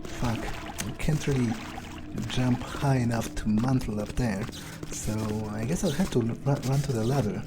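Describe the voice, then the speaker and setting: A man playing a role-playing game in character, thinking aloud in a halting, musing mutter as his vampire character. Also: mid-range voice, over music.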